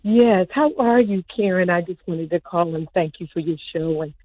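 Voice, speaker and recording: A woman's voice: fairly low pitch, moderate pace, dry recording. A woman calling in to a radio show, full of grateful thanks. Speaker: caller. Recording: tinny phone line.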